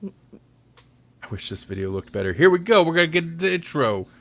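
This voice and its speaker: A man's voice: a little high, sing-song, moderate pace, fairly bright tone, slight background noise. A man hosting a podcast, talking in a casual, rambling way with joking, self-deprecating asides. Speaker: podcast host.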